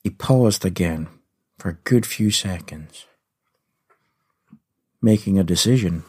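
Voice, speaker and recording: A man's voice: low-pitched, slow, a little mellow, breathy edge. A Scottish man hosting a story podcast, narrating in the first person in a folksy, confiding way.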